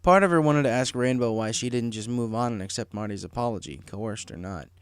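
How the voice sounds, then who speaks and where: A man's voice: medium pitch, brisk, clear, resonant tone, no echo, clean studio sound. A man reading a story aloud as scripted audiobook narration. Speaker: fan fiction audiobook reader.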